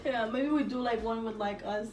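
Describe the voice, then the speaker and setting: A woman's voice: on the high side, moderate pace. An eighteen-year-old woman from El Salvador co-hosting a casual Q&A vlog in a slangy, candid way. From El Salvador, moved to the United States as a child. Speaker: vlog co-host. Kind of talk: casual Q&A chat. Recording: roomy, distant mic, music behind. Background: music.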